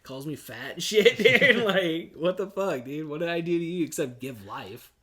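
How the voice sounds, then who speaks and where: A man's voice: on the high side, expressive, moderate pace, fairly bright tone. A man in his forties hosting a podcast, telling stories in a loose, laid-back way, laughing often and peppering his talk with swearing and "dude". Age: 40s. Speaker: podcast host. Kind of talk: casual chat.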